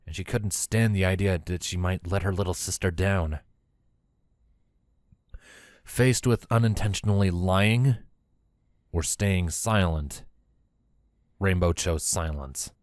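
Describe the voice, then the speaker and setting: A man's voice: low-pitched, takes it slowly, pauses often, darkish tone, no echo, clean studio sound. A man reading a fan fiction story aloud as scripted third-person narration.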